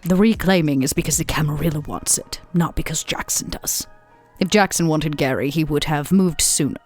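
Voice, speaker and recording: A woman playing a shrewd, composed female vampire in a tabletop roleplay, speaking in measured, formal phrases. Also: low-pitched, a little mellow, soft background music. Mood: calm, calculating. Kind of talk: tabletop roleplay actual play.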